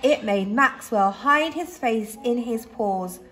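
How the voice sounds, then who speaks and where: A woman reading a children's story aloud expressively, like a teacher, with dramatic pauses and emphasis. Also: a little high, background noise.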